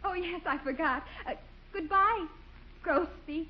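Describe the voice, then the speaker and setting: High-voiced, sweet young woman. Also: a little slow. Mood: cheerful, polite. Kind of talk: 1940s radio comedy acting. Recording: muffled 1940s radio broadcast.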